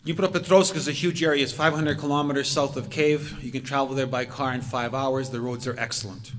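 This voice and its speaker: An older man speaks steadily from a podium microphone, laying out his points one after another. His voice sits at an ordinary middle pitch. It carries a long reverb from the community hall around him. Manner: measured, methodical recounting. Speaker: presenter. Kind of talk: lecture presentation. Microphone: podium microphone, far camera.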